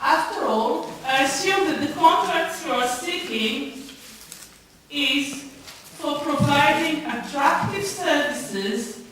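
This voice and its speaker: Slow, high-voiced woman in an echoey hall. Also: lively intonation, a bit bright. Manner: halting, pointed and challenging. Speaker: member of the public questioning a council committee. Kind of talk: public question at a formal meeting. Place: large town hall meeting room. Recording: very echoey hall from far off, faint noise.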